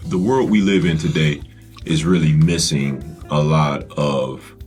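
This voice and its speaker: A man's voice: a bass voice, steady tone, measured pace, a little mellow, faint music behind. A man hosting a casual interview, asking questions and reacting with enthusiastic affirmations. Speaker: host.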